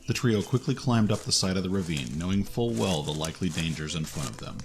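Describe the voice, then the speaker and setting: Really low guy narrating an audio drama, music playing behind. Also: expressive, fairly quick.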